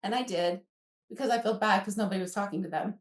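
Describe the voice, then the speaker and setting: A woman's voice: medium pitch, fast talker, recorded from a distance. Woman, fifties, American accent, casual storyteller, quotes remembered dialogue, roomy echo, slightly off-mic. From Alaska, United States. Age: 50s. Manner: animated, acts out remembered dialogue. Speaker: guest author.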